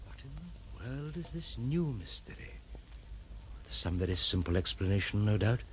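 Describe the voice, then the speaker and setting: An actor in an audio drama playing a reserved, courteous gentleman and master of the house, polite but used to giving orders. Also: lowish voice, big pitch swings, normal speed. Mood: puzzled.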